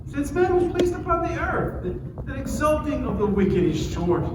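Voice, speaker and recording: A man's voice: very high-pitched, very animated, moderate pace. A man in a dramatic scripture reading, playing a fiery friend who condemns and warns with emphatic declamation. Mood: condemning. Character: fiery, condemning friend warning of God's wrath. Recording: loud noise, echoey hall, distant mic.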